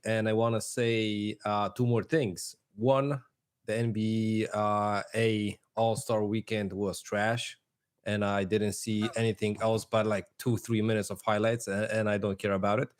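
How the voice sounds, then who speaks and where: A man with a fairly low voice chats casually as a sports show panelist. His phrasing is roundabout and a little hesitant. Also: little pitch movement, measured pace.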